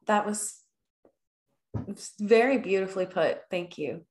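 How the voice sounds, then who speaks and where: Calm female interviewer, very slow and affirming, lowish voice, roomy echo.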